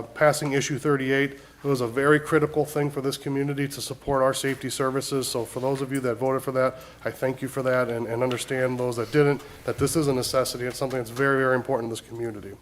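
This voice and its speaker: A man chairing a council meeting as mayor, slightly hoarse from a cold, speaking earnestly and repeating points for emphasis. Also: mid-range voice. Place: council chambers.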